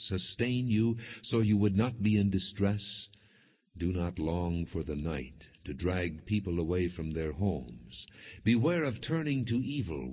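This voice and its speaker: A man narrating scripture in a solemn, measured, declamatory way, as in an audio Bible. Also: deep voice, slightly rough. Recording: phone-like narrow band, long hall reverb.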